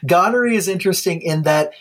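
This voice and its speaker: A man's voice: sits high, fast talker, fairly bright tone. A man co-hosting a casual science podcast, explaining infections in a loose, laughing way with frequent restarts. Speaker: podcast co-host.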